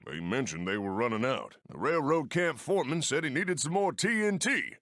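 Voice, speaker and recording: An actor playing a friendly Old West steam train engineer in a video game, with an American accent and folksy frontier phrasing. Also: male voice, mid-range voice, sing-song, moderate pace, a bit bright, no room sound, clean studio sound. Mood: helpful, earnest. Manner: folksy, colloquial drawl of the frontier. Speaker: mission-giver game character. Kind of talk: animated video game voice acting.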